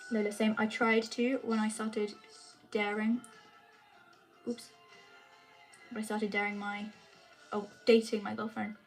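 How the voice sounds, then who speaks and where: A woman's voice: mid-range voice, level tone, unhurried, long pauses, soft background music. A young woman chatting casually with her viewers on a live stream, rambling with lots of fillers. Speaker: vlogger answering chat.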